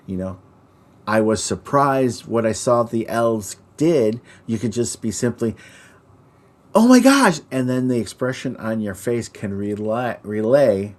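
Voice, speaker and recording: A man co-hosting an instructional discussion on storytelling, explaining deliberately and speaking directly to his listeners. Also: lowish voice, a little mellow. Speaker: podcast co-host and Christmas performer.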